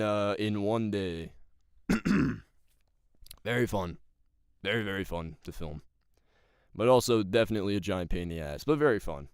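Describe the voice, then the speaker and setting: A man with a gravelly voice, an amateur voice actor chatting casually in loose, slangy talk. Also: on the low side, no echo, studio quality. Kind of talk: casual chat.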